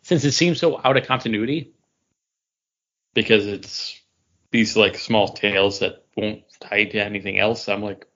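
Low-pitched man in casual fan chat. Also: fairly even intonation, a little slow, a bit bright, lo-fi sound. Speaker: podcast co-host. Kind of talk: casual geek-culture chat.

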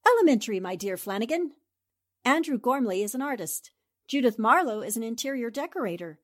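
A woman's voice: fairly high pitch, expressive, fairly quick, a bit bright. A woman playing a shrewd, confident newspaper reporter and amateur sleuth, theatrical and assertive in 1940s radio drama style. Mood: confident, triumphant.

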